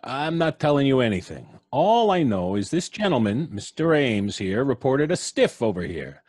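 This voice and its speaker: A man's voice: medium pitch, very animated, moderate pace, darkish tone, no echo, studio quality. An actor playing a brusque, impatient tough city policeman in old-time radio suspense style, blunt, slangy and bossy. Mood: gruff, skeptical.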